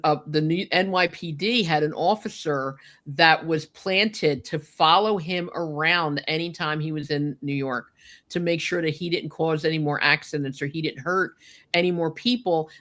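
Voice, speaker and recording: A woman's voice: low-pitched, fairly bright tone. An older woman reminiscing in casual, unscripted talk as a podcast host, chatty and emphatic, with frequent asides to her listeners. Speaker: podcast host telling personal memories.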